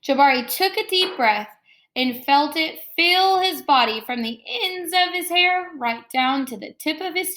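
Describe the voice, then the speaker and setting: A woman's voice: high voice, fairly bright tone. A woman teaching young students, reading a story aloud and explaining it step by step in an instructional way. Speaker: elementary school teacher. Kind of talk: read-aloud lesson for children.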